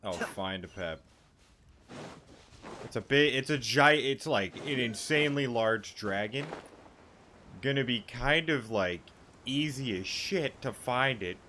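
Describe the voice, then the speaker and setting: A man's voice: mid-range voice, lively intonation, takes it slowly, pauses often, bright, forceful tone, slight background noise. Casual young man commenting on gameplay. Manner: rambling, off-hand, swears casually. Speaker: gaming streamer. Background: game music.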